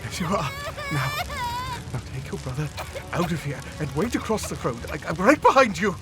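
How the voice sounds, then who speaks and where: A man's voice: a little high, sing-song, a little fast, over music. A man playing a desperate, loving father in an audio drama, stammering and pleading as he tries to save his children. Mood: urgent, reassuring.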